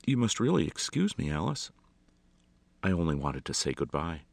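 A narrator puts on a meek storybook gentleman, a man sunk in sadness. He stammers and hesitates apologetically in a low, creaky voice. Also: sing-song, moderate pace, no room sound, clean studio sound, full character voice. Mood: hesitant, sad.

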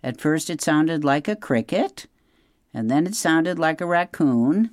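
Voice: mid-range voice, expressive, measured pace, no echo, studio quality, voice pushed up, natural voice. A reader voicing an alarmed, distrustful owl from a storybook, screechy and indignant. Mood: uneasy.

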